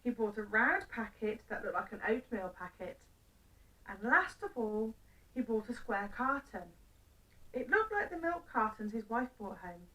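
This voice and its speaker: A woman with a fairly high voice narrates a children's story. She uses simple phrases and deliberate pauses, recorded slightly away from the microphone. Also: big pitch swings, pushed and bright, far from the mic, poor audio.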